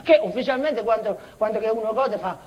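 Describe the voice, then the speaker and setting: An actress playing an unhinged, childlike former schoolteacher in a rambling tragicomic stage monologue, halting and repeating herself, swinging between outbursts and muttering. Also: female voice, a little high, expressive, natural voice. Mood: excited rambling. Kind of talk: theatrical comic-tragic monologue, broken invented dialect. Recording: dull, noisy theatre recording.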